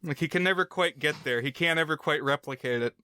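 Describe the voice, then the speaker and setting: A man's voice: fairly high pitch, quick pace, sharp tone, smooth. A man co-hosting a film podcast, recapping the plot with wry, dry humor. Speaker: podcast co-host. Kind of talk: casual chat, recapping a film.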